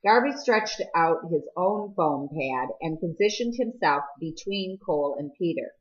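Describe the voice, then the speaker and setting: A woman narrates a novel in a fairly low register. She reads prepared prose as an audiobook reader would. The recording is a little dull and lo-fi. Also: expressive, measured pace.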